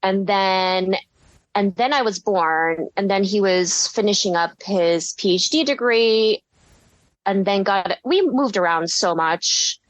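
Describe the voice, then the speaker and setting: A woman's voice: medium pitch, takes it slowly, no echo, rough recording. A woman in her thirties, a ceramicist telling her story in a casual interview, rambling with lots of fillers and frequent giggles. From United States. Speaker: guest ceramicist.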